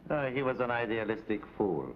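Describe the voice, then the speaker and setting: An actor playing a smug, calculating hired assassin in a 1950s TV drama, speaking in a formal, haughty, precise way. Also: male voice, medium pitch, expressive, fairly quick, lightly acted. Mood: smug confession. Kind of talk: 1950s television drama acting. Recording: dull, noisy old TV soundtrack.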